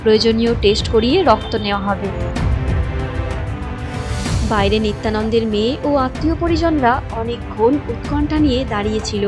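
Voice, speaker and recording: High-voiced woman narrating a story over music. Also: a little fast, pauses often. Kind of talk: scripted moral story narration.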